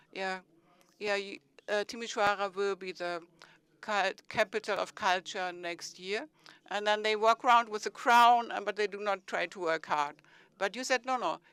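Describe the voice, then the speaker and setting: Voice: medium pitch, pushed and bright, clear, resonant tone, no room sound. A panel moderator introducing speakers at a conference, chatty with teasing asides.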